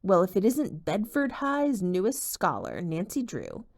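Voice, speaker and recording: A woman voicing a cold, sneering teenage boy bully who speaks quietly and menacingly in an audiobook. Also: high-pitched, huge pitch swings, unhurried, gravelly, exaggerated voice. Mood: sneering menace.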